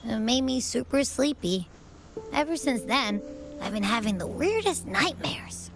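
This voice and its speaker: A woman's voice: a little high, animated, unhurried, gravelly. A woman voicing a small, childlike creature companion in a video game, hesitant and fond of food. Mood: groggy, queasy.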